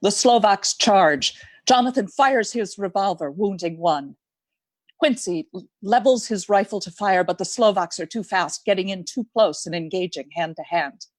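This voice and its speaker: A woman's voice: medium pitch, moderate pace, dry recording, natural voice. A woman giving dramatic scripted narration for a radio play.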